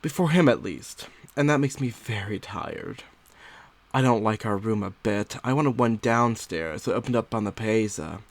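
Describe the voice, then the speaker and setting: A man's voice: fairly low pitch, expressive, moderate pace. A man reading as an anxious, confiding Victorian wife. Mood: fretful. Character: anxious, confiding young Victorian wife writing a secret diary. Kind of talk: dramatic first-person audiobook reading.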